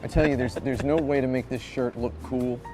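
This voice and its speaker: A man's voice: mid-range voice, steady tone, brisk. Rambling self-mocking man in his thirties. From Indiana, USA. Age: 30s. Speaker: video game reviewer. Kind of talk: anecdotal storytelling in a review video. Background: music.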